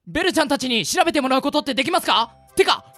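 Woman acting cheeky teen boy, high lively swooping voice, brash comic delivery, radio drama, music behind. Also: racing. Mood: eager, whiny. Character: cheeky, girl-crazy, loud-mouthed teenage boy. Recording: background music under the voice.

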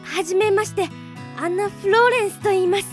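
A woman playing a shy, polite transfer student girl who speaks haltingly with slightly off phrasing, in a radio drama. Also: extremely high pitch, soft background music.

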